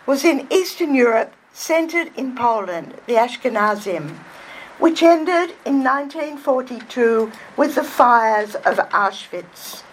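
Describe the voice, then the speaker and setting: A woman, giving a measured, scholarly lecture to an audience as an author. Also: sits high, slight background noise, poor audio. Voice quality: soft voice. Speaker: guest speaker, author. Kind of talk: public lecture. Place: hall before an audience.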